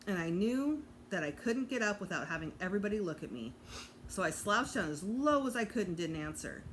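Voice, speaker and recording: Woman, animated read-aloud, very varied intonation, medium pitch, teacher storytelling, noisy background. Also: a little fast. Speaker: teacher reading to students. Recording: clear background noise.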